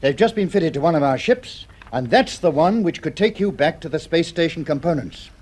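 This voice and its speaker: A man's voice: fairly high pitch, expressive, moderate pace, darkish tone. A man playing a courteous, authoritative space project chief in a 1950s radio drama, speaking formally. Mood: businesslike.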